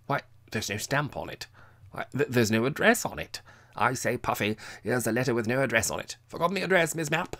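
Gallant storybook major acted by a man, hearty, clipped delivery, pitched up. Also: lively intonation, a little fast, a bit bright. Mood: jovial, amused. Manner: clipped, dropped words, hearty. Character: jovial gallant army major, gruff clipped gentleman. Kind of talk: audiobook character voice.

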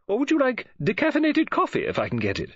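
Man putting on a gushing, high-voiced lady novelist. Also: huge pitch swings, quick pace, dry recording, full character voice. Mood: fussing. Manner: gushing, coaxing, high breathy falsetto. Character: chatty excitable middle-aged female novelist. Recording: dull lo-fi audiobook recording.

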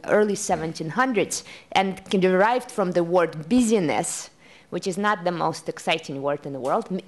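A woman moderating a conference panel who builds her closing remarks around rhetorical questions. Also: mid-range voice. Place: conference room.

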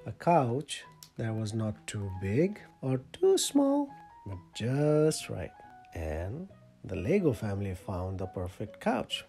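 A storyteller reading a picture book aloud to children in an expressive, playful way with dramatic emphasis. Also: medium pitch. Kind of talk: animated picture-book read-aloud for kids.

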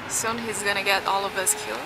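A woman's voice: medium pitch, level tone, fast talker, very noisy. A woman chatting casually with friends, teasing and laughing. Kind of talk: casual chat.

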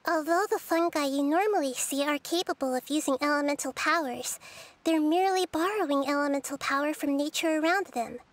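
Soft girlish high voice, woman as wise little nature goddess, gentle, measured, thoughtful, video game dialogue. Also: close to an everyday voice. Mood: intrigued. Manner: measured, explanatory.

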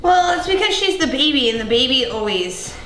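A woman's voice: high voice, sing-song, moderate pace, sharp tone, echoey room, slight background noise. A young woman co-hosting in a home kitchen, bantering and teasing playfully while cooking. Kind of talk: casual banter. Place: large home kitchen, indoors.

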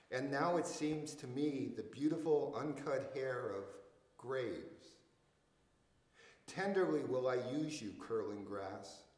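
Slow-reading older man in echoey hall. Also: medium pitch, a little mellow. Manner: deliberate, measured phrasing. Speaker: poet reading at a public reading. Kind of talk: poetry recitation. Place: indoors in a church hall.

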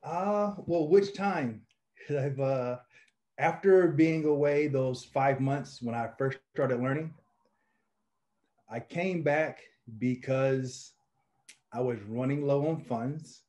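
A man in his thirties speaks with an Indiana accent and a dark, mellow tone. He gives calm, measured advice at a middling pitch. He is on a video call from a small home office. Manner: relaxed, measured advice-giving. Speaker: meetup organizer. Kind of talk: casual video call chat.

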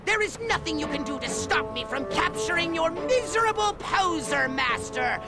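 An actor voicing a short, megalomaniac evil dark sorcerer in a video game, shrill, pompous and taunting. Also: male voice, expressive, normal speed, gravelly, over loud music, full character voice. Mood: mocking. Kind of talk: over-the-top cartoon villain acting.

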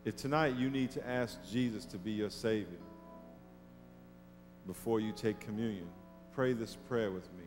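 Animated preacher man on a church stage. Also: fairly low pitch, normal speed, long pauses, soft background music. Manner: animated, acts out petty grudges in a mocking voice. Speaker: pastor. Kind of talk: sermon. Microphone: headset.

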